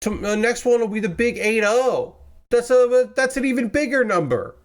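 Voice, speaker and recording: A man's voice: very high-pitched, lively intonation, normal speed. A young man casually chatting with his stream viewers while building model kits, rambling and correcting himself, a little congested after a cold. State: slightly congested, recovering from a cold. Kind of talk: casual livestream chat. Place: his room with window open.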